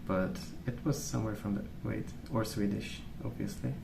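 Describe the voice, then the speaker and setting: Soft-spoken man, low and creaky. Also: fairly even intonation, moderate pace. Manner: hesitant, meandering, thinking aloud. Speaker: ASMR video creator. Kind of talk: soft-spoken ASMR rambling while colouring. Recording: roomy, distant mic, noisy background.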